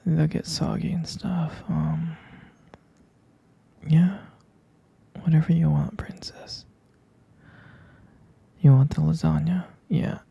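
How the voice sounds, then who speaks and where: A man playing a tender, doting boyfriend in an ASMR roleplay, murmuring slowly and affectionately in a breathy, husky whisper. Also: fairly high pitch, dark tone, dry recording, lo-fi sound, exaggerated voice. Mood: sleepy, caring. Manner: slow, hesitant, affectionate murmuring with pet names. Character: tender, doting vampire-romance boyfriend soothing partner to sleep.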